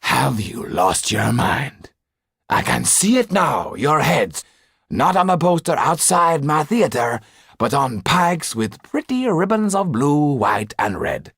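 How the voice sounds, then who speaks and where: A man's voice: fairly high pitch, big pitch swings, takes it slowly, gravelly, fairly bright tone, husky, no room sound, studio quality, pitched above the performer's own voice, full character voice. A man voicing an anxious, theatrical, self-pitying theatre owner in a storybook, fretful, exclamatory and mournful. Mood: appalled.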